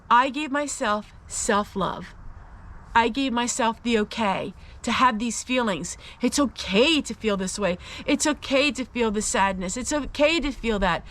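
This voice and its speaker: Female faith vlogger, raised pitch, very measured pace, earnest and emphatic personal encouragement. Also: a bit bright. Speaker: host of a faith-based personal vlog.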